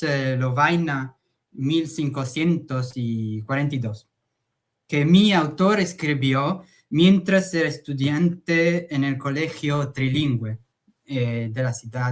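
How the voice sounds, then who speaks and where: Slow, hesitant male academic speaker. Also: on the high side. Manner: slow, hesitant, frequent "eh" fillers. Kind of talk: conference talk.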